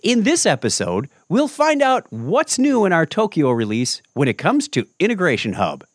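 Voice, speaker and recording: A male podcast host with a fairly high, bouncy voice that swings all over its range. He chats casually and jokes around. The sound is dry and close, with no room echo. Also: normal speed. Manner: lively, jokey, tangent-prone. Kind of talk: casual interview chat.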